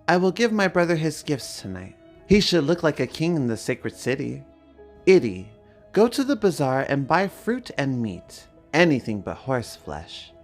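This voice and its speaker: A man acts out a gentle but newly confident young noblewoman in a fantasy tale. The speech is earnest and polite, set over music. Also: on the high side, big pitch swings, normal speed, pitched above the performer's own voice. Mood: gently commanding.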